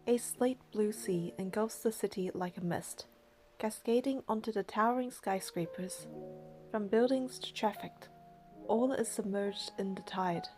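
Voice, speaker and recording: A woman's voice: medium pitch, measured pace, warm tone, soft background music. A woman reading game narration and dialogue aloud, with casual commentary of her own.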